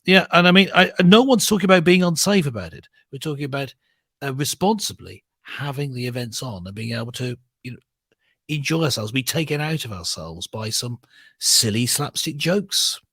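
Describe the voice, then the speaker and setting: A British man talks through his opinions as a talk show co-host. His voice is slightly husky and recorded close and dry. He speaks earnestly, haltingly feeling his way through each point. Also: mid-range voice, expressive, fairly bright tone, dry recording. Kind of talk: conversational opinion discussion.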